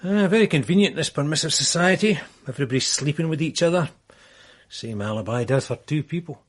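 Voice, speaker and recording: An actor playing a sceptical, by-the-book police sergeant in a radio drama, wry and plain-spoken. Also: male voice, a little high, lively intonation, brisk, breathy edge.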